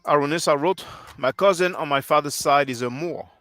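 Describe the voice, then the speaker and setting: A man's voice: a little high, fairly quick, a bit bright, dry recording, soft background music. A man in his thirties whose first language is French, hosting a livestream and lecturing earnestly while answering chat on a headset mic. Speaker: livestream host.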